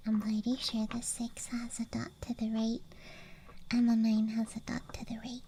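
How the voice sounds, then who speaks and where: A woman doing a whispered ASMR show-and-tell, murmuring softly and breathily to the listener in an unhurried way, as the host. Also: fairly high pitch, completely flat delivery. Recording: faint background noise, outdoor night ambience. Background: faint rural night ambience sounds.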